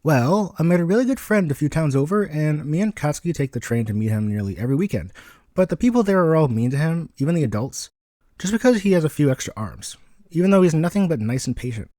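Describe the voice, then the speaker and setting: A man's voice: a little high, lively intonation, fast, mellow, no echo, studio quality, pitched above the performer's own voice, natural voice. A man voicing a kind, eager young boy in a story, speaking politely and earnestly. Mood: earnest, pleading.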